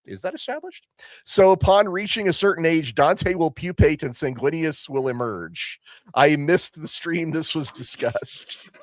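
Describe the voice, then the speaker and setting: A male podcast co-host talks in a casual, animated way, his voice swinging up and down with real liveliness. The sound is dry and close, with no room around it. He reads out viewer messages and breaks into excited exclamations and laughter. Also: on the high side, dry recording.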